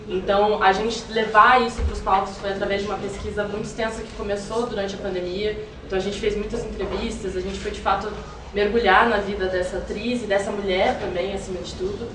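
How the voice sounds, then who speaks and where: A young Brazilian woman, an actress, answering interview questions in an earnest, reflective and explanatory way. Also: mid-range voice. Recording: roomy, distant mic, noisy background.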